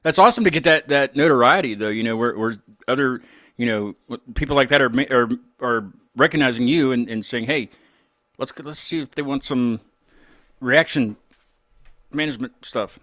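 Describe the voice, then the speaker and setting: An American man hosting an interview show, chatting casually in a rambling, halting way. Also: mid-range voice, bright, forceful tone, no room sound. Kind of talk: casual chat.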